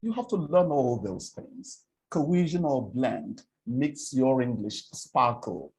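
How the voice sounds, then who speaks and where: A man from Nigeria with an Igbo accent, lecturing on English speech for presenters in a deliberate way, repeating words and giving examples. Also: medium pitch, lively intonation, gravelly, darkish tone, a little hiss or hum, low-quality recording. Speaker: presentation coach. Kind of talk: spoken lecture on English diction.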